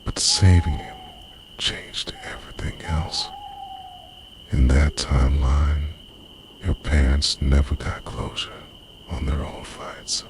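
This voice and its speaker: A man's voice: rumbling low, vocal fry, heavily acted. A man playing an obsessively devoted time-travelling boyfriend in an intimate, earnest, half-whispered ASMR roleplay. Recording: night crickets and owl behind voice. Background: night insects, crickets and hooting owl.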